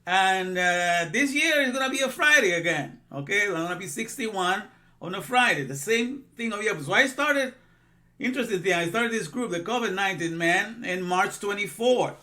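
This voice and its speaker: A man's voice: high voice, animated, normal speed, sharp tone. A man in his sixties, from Guatemala, giving an informal religious lesson in a rambling way with many asides and repeated phrases. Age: 60s. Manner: rambling, repeats himself, frequent "you know". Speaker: religious teacher.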